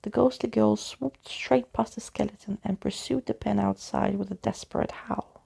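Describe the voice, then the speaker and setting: A woman reads a children's story in a soft-spoken ASMR style. Her voice is husky and mellow, with a very heavy creak. She tells it expressively, like a bedtime storyteller who acts out each character. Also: medium pitch, steady tone, moderate pace. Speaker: storyteller reading a children's book.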